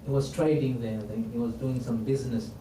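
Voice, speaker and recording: A man who is not a native English speaker teaching a religious history class in a mosque, halting with many restarts and quoting Arabic. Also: mid-range voice, fairly even intonation, warm tone. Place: mosque hall. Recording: roomy, distant mic, faint noise.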